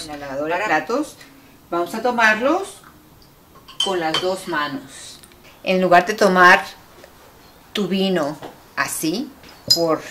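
A middle-aged woman giving casual how-to tips in a home kitchen, chatty and lively as she demonstrates with her hands. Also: on the low side. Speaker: cooking teacher giving tips. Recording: roomy, a bit far from the mic, faint hiss.

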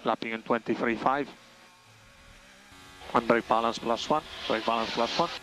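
A man's voice: medium pitch, steady tone, normal speed, noisy background. A man working as a race engineer, giving clipped, factual updates over team radio and repeating key phrases for clarity. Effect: team radio transmission.

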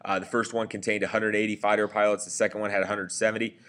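A man's voice: on the low side, flat delivery, quick pace, a bit bright. A man giving a recorded history lecture over slides, talking conversationally with frequent fillers and self-corrections. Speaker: teacher.

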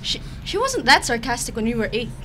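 A woman's voice: a little high, big pitch swings, brisk, a crowd in the background. A teenage girl guest on a radio show, chatting and laughing as she explains things about her sister. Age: teens. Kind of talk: casual radio chat. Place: radio studio.

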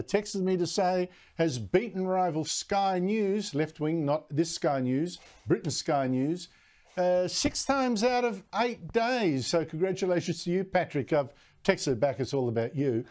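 An older man anchors a news show from the studio with a fairly high voice. He delivers lines in a wry, measured way, leading into interviews. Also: lively intonation, darkish tone. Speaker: TV news host.

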